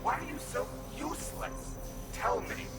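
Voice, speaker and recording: A man's voice: measured pace, over loud music, lightly acted. A man voicing a mocking, glitchy, grinning entity in the mind of the hero, with a taunting, condescending manner. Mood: scornful. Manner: taunting, condescending, sing-song. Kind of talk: audio drama acting.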